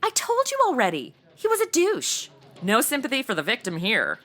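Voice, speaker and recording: An actress playing a flighty, self-absorbed young podcaster in a comedy audio drama, chatty, slangy and gushing. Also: female voice, a little high, huge pitch swings, moderate pace, a bit bright, heavily acted. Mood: dismissive. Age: young adult.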